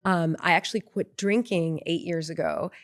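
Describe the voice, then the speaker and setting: A woman's voice: lowish voice, steady tone, moderate pace. A woman from Mexico, a guest founder talking casually in an interview, with frequent ums and restarts. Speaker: guest, beverage brand founder.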